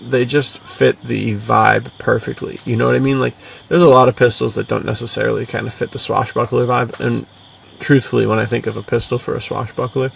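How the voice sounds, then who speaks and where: A man's voice: medium pitch, flat delivery, fairly quick, vocal fry, breathy edge. A man co-hosting a podcast in a casual, enthusiastic, slangy way, peppering his talk with dude and like. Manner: slangy, bro-ish, full of "dude" and "like". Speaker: podcast co-host. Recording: distant mic with faint background noise.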